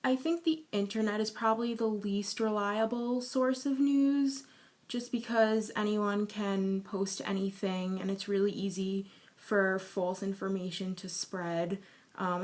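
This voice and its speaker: A woman in her early twenties explains her views in a measured, prepared way. Her voice sits at an ordinary middle pitch. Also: fairly even intonation, darkish tone. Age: 20s. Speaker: survey respondent. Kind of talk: prepared interview answers.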